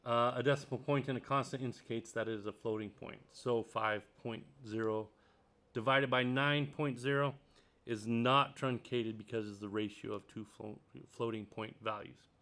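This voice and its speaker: A man's voice: medium pitch, fairly even intonation, normal speed. Man thinking aloud through code. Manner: thinks out loud, many ums and uhs; reads passages then comments on them. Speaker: programming tutor. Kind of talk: screen-recorded coding tutorial, reading a textbook aloud.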